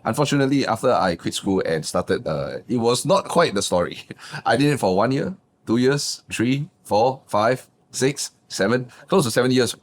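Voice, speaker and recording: A man, a guest business coach telling his backstory in a lively, emphatic way. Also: normal speed. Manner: animated, emphatic, swings pitch up for punchlines. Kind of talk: casual interview storytelling.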